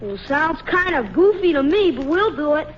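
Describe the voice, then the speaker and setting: Woman doing blunt nosy little kid on old radio comedy, super high voice, bit of noise. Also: sing-song, moderate pace. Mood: inquisitive, eager. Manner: blunt, inquisitive. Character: eager, pestering young child. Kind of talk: 1940s radio sitcom acting. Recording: muffled, noisy vintage radio recording.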